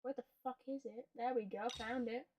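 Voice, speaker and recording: A woman's voice: on the high side, steady tone, moderate pace, noisy background. A woman livestreaming a video game in casual, chatty, rambling and playful talk, with some loose swearing. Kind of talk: casual gaming stream chat.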